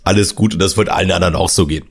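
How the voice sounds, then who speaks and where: Man, podcast host, very deep voice, chatty and joking. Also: steady tone, racing, gravelly, breathy edge. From northern Germany. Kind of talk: casual podcast hosting.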